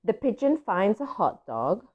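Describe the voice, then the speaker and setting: A woman's voice: mid-range voice, very animated, measured pace. A woman, a native Spanish speaker, playfully and expressively reading a picture book aloud to children. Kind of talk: animated read-aloud storytelling. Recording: dull lo-fi audio.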